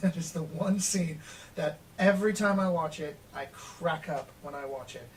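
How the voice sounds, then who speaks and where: A man's voice: a little high, a little slow, a little hiss or hum. A man with an American accent, a co-host reviewing films in casual chat, enthusiastic and laughing often. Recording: distant mic with faint music.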